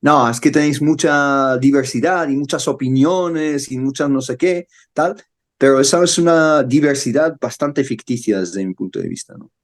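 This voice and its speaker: Male podcast guest, conversational, mid-pitched. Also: moderate pace. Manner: often ends points with a checking tag question. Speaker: podcast guest, humanitarian worker. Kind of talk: conversational interview.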